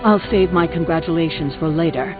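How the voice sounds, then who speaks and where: A man's voice: a little high, fairly quick, close to an everyday voice. An actor playing a stern, skeptical and impatient male warrior in a video game, speaking in a terse, formal, sardonic way. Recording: phone-like sound over clear background music.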